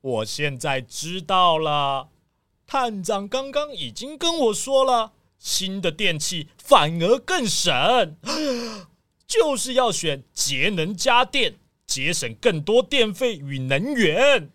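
A thrifty, slightly slow old villager talks in a high, bright, swooping voice. He drags out his words earnestly. The sound is close and dry. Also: big pitch swings, no echo, exaggerated voice. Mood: eager. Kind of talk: children's radio drama acting.

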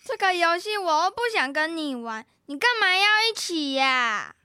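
A woman's voice: very high voice, expressive, moderate pace, bright, forceful tone, no echo, heavily acted. A young girl acting in a children's radio drama as a bossy, scheming big sister. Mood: annoyed, bossy. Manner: bossy, coaxing.

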